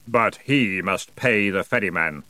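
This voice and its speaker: A man's voice: fairly low pitch, big pitch swings, unhurried, a bit bright, dry recording. Storybook role: grim hooded boatman of the underworld, read by a male narrator, weary reproach, dry sound. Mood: accusing. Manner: weary, stern, accusing. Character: dark hooded underworld ferryman, weary and accusing. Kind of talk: audiobook storybook character voice.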